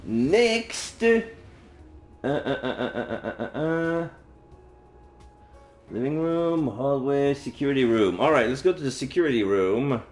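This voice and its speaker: A man's voice: mid-range voice, lively intonation, unhurried, long pauses, a little mellow. A man livestreaming a visual novel, reading the text aloud casually with jokey, muttered asides. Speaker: streamer playing a visual novel. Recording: roomy sound, faint game music. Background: faint game music.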